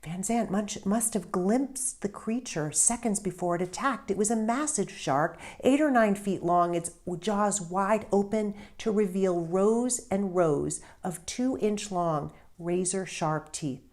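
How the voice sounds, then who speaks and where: A woman author reading a story aloud in an expressive, deliberate narrating style with emphatic stresses. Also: mid-range voice.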